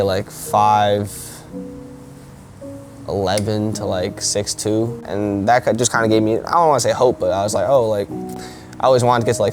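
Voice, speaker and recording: A young man from Canada, whose first language was Korean, talking casually in a documentary interview with many fillers. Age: young adult. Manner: low-key, many "like" fillers. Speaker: college basketball player. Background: guitar music.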